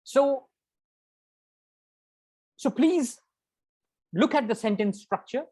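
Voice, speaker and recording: A man's voice: extremely high pitch, lively intonation, takes it slowly, mellow, husky. A man giving an academic writing workshop, talking emphatically, repeating key words and adding conversational asides. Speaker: workshop lecturer. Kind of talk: interactive academic workshop lecture.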